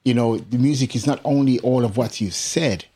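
A middle-aged English musician with a British accent touched by Jamaican Caribbean tones, telling stories casually in an interview and laughing often. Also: male voice, medium pitch, moderate pace, husky. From England. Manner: laughs often, animated anecdotes. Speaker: musician guest.

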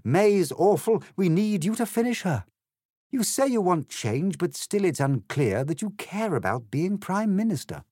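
A man's voice: mid-range voice, huge pitch swings, moderate pace, a little mellow, slightly rough, no echo, clean studio sound, pitched above the performer's own voice. A man voicing an anguished, exasperated male member of parliament in comic storybook verse, blunt and pleading.

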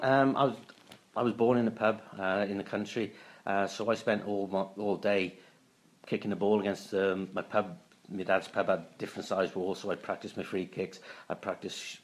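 A man in his late fifties from Wales, a former footballer, talking through his career in a casual interview, often pausing and restarting his sentences. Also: on the low side. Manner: halting, many restarts and fillers.

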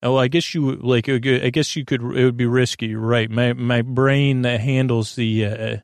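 A man hosting a bedtime podcast in a casual, meandering monologue, stammering and halting as he keeps drifting off topic. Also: medium pitch, steady tone, dry recording, clean studio sound. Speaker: podcast host.

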